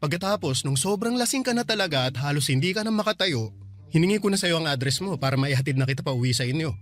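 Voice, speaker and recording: An actor playing a calm young man in a Filipino radio drama, patiently explaining and defending himself. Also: fairly high pitch, fast, soft background music. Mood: explaining, defensive. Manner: soothing, explanatory. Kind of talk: Tagalog radio drama acting.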